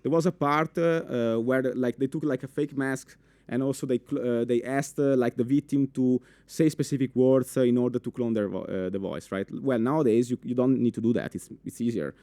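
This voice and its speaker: A young man with an Italian accent giving a live conference talk in a full room, animated, with many fillers and restarts, asking the audience questions. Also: medium pitch, a little fast, few pauses, no room sound. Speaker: conference speaker.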